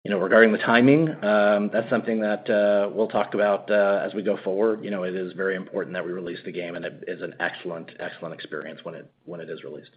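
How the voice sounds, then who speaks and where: A man speaks in a deep, rough-edged voice as an executive on an earnings call. He is conversational in his answers. The audio is a little dull. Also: level tone, quick pace, few pauses. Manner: conversational, frequent "you know". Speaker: company executive.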